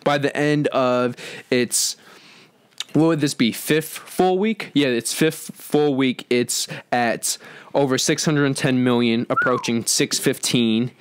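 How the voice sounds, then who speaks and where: A man co-hosting an entertainment podcast, talking casually through box-office figures with halting restarts. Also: mid-range voice, smooth, no echo.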